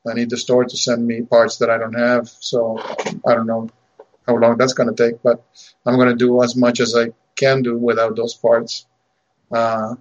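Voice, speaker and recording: Argentine-raised male co-host, halting chatty delivery, muffled lo-fi sound. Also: medium pitch. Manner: halting, repeats words, chuckles. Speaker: radio co-host and maker. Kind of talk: casual chat. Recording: dull lo-fi sound.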